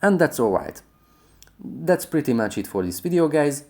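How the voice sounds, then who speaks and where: A tutorial presenter giving scripted, step-by-step how-to narration at a medium pitch. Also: big pitch swings, moderate pace, creaky, a little mellow.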